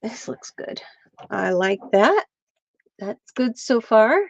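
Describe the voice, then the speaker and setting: A woman's voice: mid-range voice, lively intonation, slow, gravelly, dry recording, muffled recording. A middle-aged woman from Pennsylvania, chatting casually with viewers while she crafts on a livestream. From central Pennsylvania.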